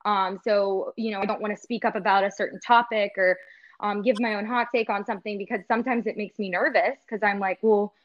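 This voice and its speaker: Woman, sports reporter, earnest, chatty fillers, mid pitch, slightly muffled lo-fi recording. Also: fairly even intonation, fairly quick. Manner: earnest, filler-heavy with many "like" and "you know". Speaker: in-arena sports host and reporter. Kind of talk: casual podcast interview talk.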